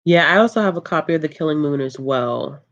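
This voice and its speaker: Calm, low-voiced book streamer. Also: brisk, lo-fi sound. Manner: relaxed and chatty, laughs often, trails off with ums. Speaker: book channel host. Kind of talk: casual livestream chat, reading out viewer comments.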